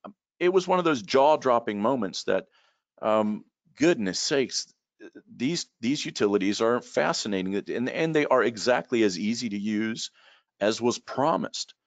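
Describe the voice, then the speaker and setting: A middle-aged man, a trainer telling stories in a relaxed, rambling way, repeating words and using many fillers, heard over a video call from a room at home. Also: mid-range voice, a little slow, no echo. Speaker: guest expert and trainer. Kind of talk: casual storytelling in a video call. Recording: dull lo-fi call audio.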